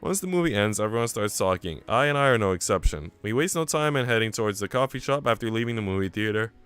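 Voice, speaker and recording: A man with a low, smooth voice works as a game streamer, reading a visual novel aloud and chatting casually around it. His delivery is clean and crisp, with music playing behind him. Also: expressive, quick pace, a bit bright. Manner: chatty asides between narrated passages.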